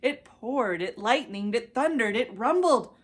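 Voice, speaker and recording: A woman with an American accent narrating a children's storybook with deliberate phrasing and dramatic pauses. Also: a little high, lively intonation. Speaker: children's ministry director reading a storybook.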